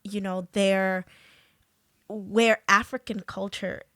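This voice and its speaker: A woman hosting a podcast, talking casually in a slow, halting way with lots of fillers. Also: mid-range voice, flat delivery, pushed and bright, no room sound, studio quality. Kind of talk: casual conversation.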